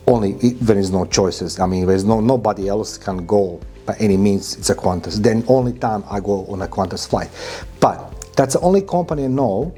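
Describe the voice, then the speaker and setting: A man's voice: on the low side, warm tone, slightly rough. A man in his fifties from Croatia, speaking non-native English with a Croatian accent, telling travel stories emphatically as a vlogger. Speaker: vlogger and podcast host. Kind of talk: informal travel vlog monologue to camera. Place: furnished indoor room, hotel-like.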